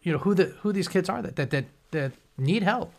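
A man's voice: high voice, expressive, brisk, vocal fry. Rambling man in his forties. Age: 40s. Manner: rambling, many restarts. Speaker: interviewer. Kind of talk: casual interview chat.

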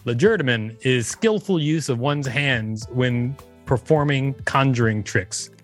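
A man's voice: mid-range voice, a little slow, faint music behind. A man co-hosting a podcast, reading an encyclopedia page aloud and adding casual commentary. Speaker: podcast co-host.

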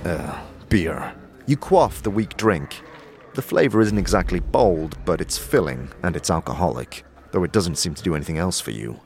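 A man's voice: sits low, lively intonation, moderate pace, a little mellow. A man reading scripted narration for a fantasy audio drama. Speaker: narrator and actor. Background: prominent music.

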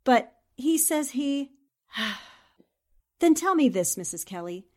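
A woman's voice: sits high, sing-song, slow pace, full character voice. A woman playing a determined, shrewd newspaperwoman sleuth, questioning formally in a 1940s-style radio drama. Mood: probing.

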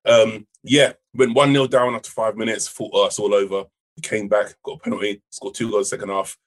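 A young man co-hosting a casual podcast, hoarse from shouting, excitable and quick to laugh. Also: lowish voice. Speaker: podcast co-host. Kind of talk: casual chat.

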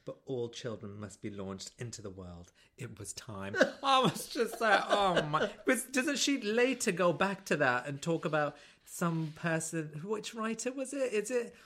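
A woman's voice: deep voice, very animated, unhurried, a bit bright. A woman co-hosting a podcast in casual comic chat, giggly, exclamatory and playfully dramatic. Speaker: podcast co-host.

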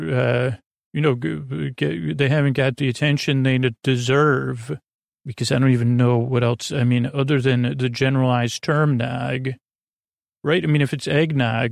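A man hosting a bedtime podcast, rambling in a halting, tangential way with trailing unfinished sentences and a gravelly voice. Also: mid-range voice, dry recording, studio quality. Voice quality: creaky, gravelly. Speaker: podcast host. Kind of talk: rambling bedtime monologue to help listeners fall asleep.

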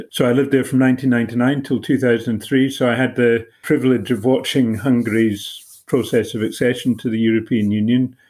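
A man in his forties from Britain with a mellow, relaxed tone, talking through his answers in a measured, explanatory way. His pitch sits in an ordinary middle range, and he sounds like a journalist guest on an interview show. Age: 40s.

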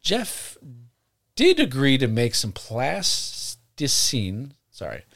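Lively-voiced man telling a story on a podcast. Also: medium pitch, slow, gravelly. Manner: reads from notes, laughs often, self-correcting asides. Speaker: podcast host.

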